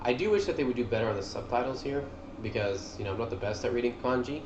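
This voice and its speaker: A man's voice: medium pitch, expressive, fast talker, dull audio, background noise. A man giving casual, informal commentary over game cutscenes, rambling as he goes with some mild swearing. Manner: informal, rambling, mildly profane. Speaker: gaming video host. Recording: roomy echo, game music behind.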